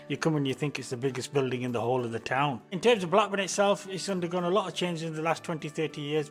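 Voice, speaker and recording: A man from England with a mid-pitched voice. He talks quickly in a brisk, matter-of-fact way. Soft music runs underneath. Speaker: interviewee.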